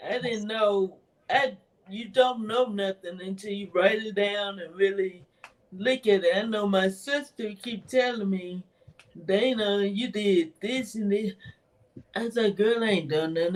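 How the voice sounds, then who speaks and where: A woman with a soft voice, speaking hesitantly in a casual interview about her own writing, with frequent laughter. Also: medium pitch, a little slow. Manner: hesitant, rambling, laughs often. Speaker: interviewee, author. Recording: voice from far off the mic.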